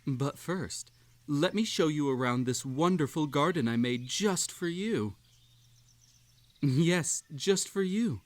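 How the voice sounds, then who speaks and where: A man's voice: fairly high pitch, expressive, slow pace, no echo. A man playing an unhinged, possessive bunny hosting a sinister tea party in a roleplay audio drama, coaxing and falsely polite. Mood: coy, coaxing. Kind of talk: scripted roleplay audio drama addressed to the listener.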